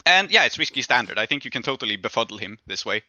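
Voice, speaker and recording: A man's voice: mid-range voice, very animated, fast, sharp tone, highs cut off. A man acting as game master, casually narrating and explaining rulings in a conversational way.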